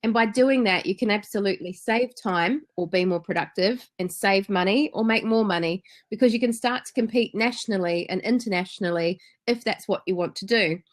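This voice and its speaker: A woman's voice: mid-range voice, brisk, hardly any pauses. A woman with a New Zealand accent hosting a business webinar in an enthusiastic, chatty way. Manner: enthusiastic, chatty, frequent ums. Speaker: webinar host.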